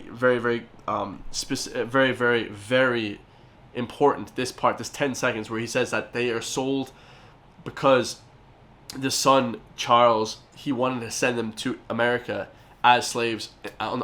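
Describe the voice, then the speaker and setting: A man's voice: mid-range voice, moderate pace, sharp tone. A man with an Irish accent hosting a casual reaction video, talking emphatically and often calling his viewers "guys". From Ireland.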